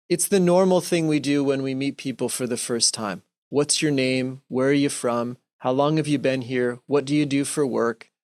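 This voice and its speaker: A middle-aged American man giving an explanatory, conversational talk in a measured, deliberate way with reflective pauses. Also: mid-range voice, no echo, studio quality. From United States. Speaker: religious speaker giving an online talk. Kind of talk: teaching presentation, explanatory and conversational.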